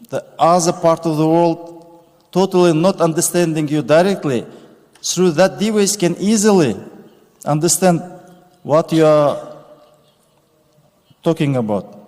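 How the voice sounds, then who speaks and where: A man, giving a formal conference speech with slow, deliberate phrasing and pauses. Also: on the high side, a little mellow. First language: Kazakh. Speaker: conference speaker. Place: large conference hall stage. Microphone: podium microphone. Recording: reverberant conference hall.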